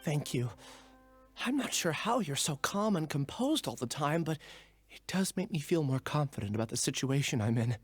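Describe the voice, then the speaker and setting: A man's voice: fairly high pitch, sing-song, moderate pace, faint music behind. An actor playing a troubled, earnest young male student in a video game, speaking hesitantly and apologetically. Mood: hesitant, uneasy. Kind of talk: scripted video game voice acting.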